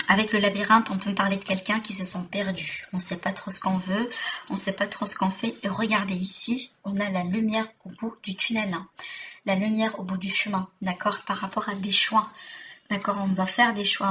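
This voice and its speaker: A woman with a mid-pitched voice gives an informal tarot reading. She addresses her listeners directly, checking in often and repeating points for emphasis. She sounds a little far from the microphone, with faint noise behind her. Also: a bit bright. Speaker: tarot reader, host. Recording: distant mic, faint background noise.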